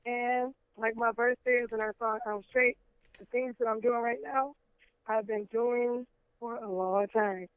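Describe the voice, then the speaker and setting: A hoarse woman in her thirties, an interview guest and rapper, speaking plainly and matter-of-factly. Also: fairly high pitch, steady tone, measured pace, dry recording. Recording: tinny phone-line sound, dry.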